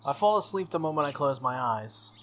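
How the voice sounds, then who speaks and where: A man hosting a game playthrough, reading a visual novel aloud in an animated way with casual asides. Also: medium pitch, brisk, a little hiss or hum. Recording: music playing behind the voice. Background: game music.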